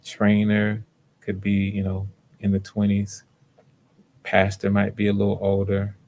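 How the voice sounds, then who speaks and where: A man speaking casually as host and casting director of a livestreamed audition, in a low-key, halting way with repeated words. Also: completely flat delivery, darkish tone, slight background noise.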